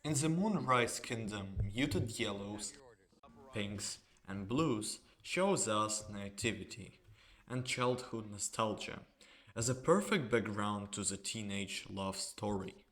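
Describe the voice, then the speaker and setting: A man's voice: fairly low pitch, lively intonation, slow, a bit bright. A man reading scripted narration as the narrator of a video essay. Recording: music under the voice.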